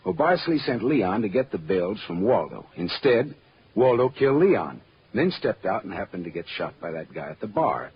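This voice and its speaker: An actor playing a wry, hardboiled American private eye in an old radio drama, cool and sardonic, on a muffled, noisy recording. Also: male voice, lowish voice, very animated, normal speed. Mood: eager. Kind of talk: mid-century radio drama acting.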